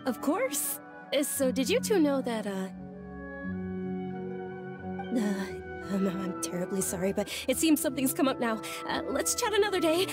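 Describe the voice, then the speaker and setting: A woman playing a gentle, polite young follower of a deity in a video game, speaking with soft, hesitant courtesy. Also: sits high, big pitch swings, normal speed. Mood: flustered. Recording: faint music behind the voice. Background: background music.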